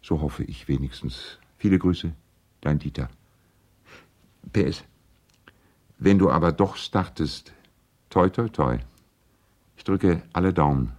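An actor playing an urbane, persuasive lawyer in a radio play, reading letters in a courteous, coaxing manner. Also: male voice, low voice, slow, pauses often, darkish tone. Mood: coaxing, friendly. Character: urbane, persuasive male lawyer and family friend. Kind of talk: radio play, letters read aloud in character.

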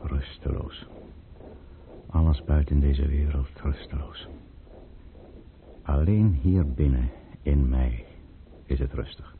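An actor playing a coolly calculating count and conspirator in a radio drama, speaking formally and deliberately with authority. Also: male voice, rumbling low, noisy background, full character voice. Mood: brooding. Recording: muffled old radio play recording.

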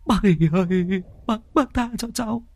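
A man voicing a terrified young man who begs for mercy in a high, shaky, pleading voice. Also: normal speed, dry recording. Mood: begging. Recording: faint music behind.

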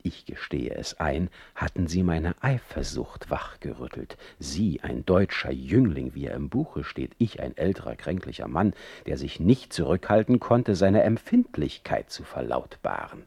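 A man's voice: extremely low pitch, sing-song, a little slow, gravelly, few pauses, warm tone, husky, dry recording, exaggerated voice. An actor playing an older, sickly, jealous and eloquent male poet in a radio play, reading out his formal letters with ornate, theatrical emphasis. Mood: formal recounting.

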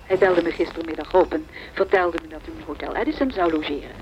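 A woman's voice: deep voice, fast, natural voice. An actress playing a courteous, composed, businesslike woman lawyer on the telephone in a radio drama. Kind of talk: radio drama phone dialogue. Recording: muffled phone-scene sound, faint noise.

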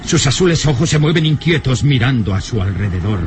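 A man's voice: mid-range voice, lively intonation, a little fast, background noise, close to an everyday voice. A male actor as a solemn, dramatic storyteller, narrating an old radio serial in a theatrical, grandiloquent way. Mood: suspenseful.

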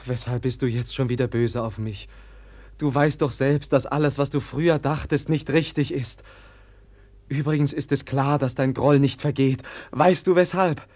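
An actor playing a gentle, naive young prince in a 1950s radio play, speaking hesitantly and earnestly. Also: male voice, mid-range voice, fairly even intonation, moderate pace, close to an everyday voice. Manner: hesitant, soft-spoken, earnest. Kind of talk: 1950s radio drama acting.

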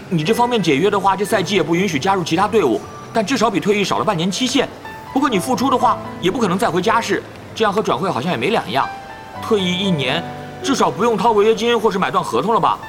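Brief: man voicing an excitable pro gamer, high and very lively, with music under the audio drama. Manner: rapid, pestering, excitable chatter. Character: chatty, nosy young male pro gamer. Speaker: voice actor. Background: background music.

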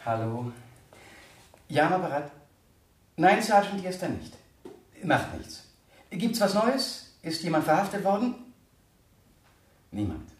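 A mild, concerned male vicar in a radio play. His wry, patient delivery swings widely in pitch, heard in a roomy space with clear background noise. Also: very animated, a little slow, long pauses. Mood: courteous. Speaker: actor playing a vicar. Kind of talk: radio drama acting. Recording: roomy, distant, noisy recording.

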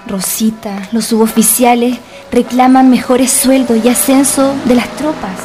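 An actress playing a secretive officer's wife in a historical radio drama, earnest, measured and solemn. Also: female voice, a little high, fairly even intonation, over music, lightly acted. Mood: earnest, confiding.

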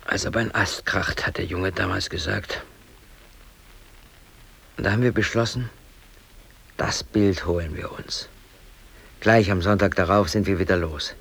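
Radio drama lead: brooding East German working man, late thirties, lowish voice, obsessive and embittered, talking to himself in swings from mutter to outburst. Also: steady tone, measured pace, vocal fry, long pauses, a bit bright, breathy edge, exaggerated voice. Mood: wistful, rueful. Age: late 30s. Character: aggrieved East German factory worker and obsessive hobby photographer. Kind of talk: radio drama interior monologue.

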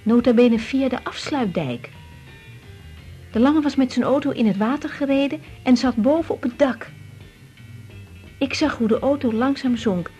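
A woman's voice: high voice, moderate pace, long pauses, warm tone, faint music behind, natural voice. An actress playing a naive, confiding young woman doctor with emotional delivery in a 1980s radio drama, with a Netherlands Dutch accent. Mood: reflective, troubled.

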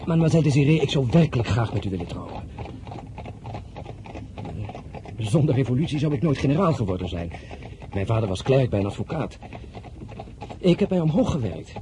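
A man's voice: medium pitch, big pitch swings, fast talker, background noise, close to an everyday voice. A man playing an earnest, blunt French general of thirty-one in a Dutch drama, courting in a direct, insistent way. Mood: earnest proposing. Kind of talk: Dutch radio drama acting.

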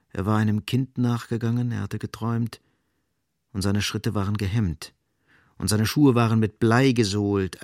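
A low male voice of an actor narrates a literary radio drama. He is sober and deliberate, setting down short sentences with emphasis. The sound is dry and studio-close. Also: darkish tone, dry recording, lightly acted.

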